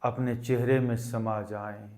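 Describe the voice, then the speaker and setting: A man leading a guided meditation as a teacher, speaking deliberately and instructively in short phrases with pauses. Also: mid-range voice, flat delivery, darkish tone.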